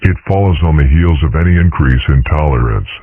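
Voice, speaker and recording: Man voicing a very deep, husky philosopher dragon dad. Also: exaggerated voice. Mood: measured, wise. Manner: measured, lecturing, deadpan. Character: calm philosophical father dragon, dryly mischievous. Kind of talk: comic dub voice acting. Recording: muffled, phone-like narrow sound.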